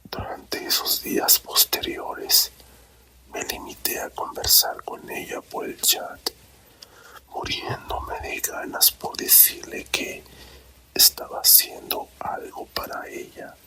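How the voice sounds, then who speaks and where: A man softly whispering a romantic story in a slow, deliberate ASMR narration, close to the microphone. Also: poor audio. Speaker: storyteller reading own written story.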